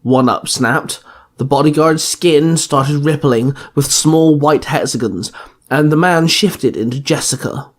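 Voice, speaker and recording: A man's voice: a little high, measured pace. A man reading scripted fan fiction narration as the narrator of a story podcast.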